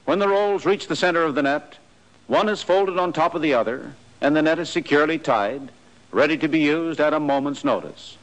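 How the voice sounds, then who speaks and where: Male narrator, slightly higher voice, measured instructional read, 1940s film soundtrack. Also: low-quality recording. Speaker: narrator of army training film. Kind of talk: scripted documentary narration.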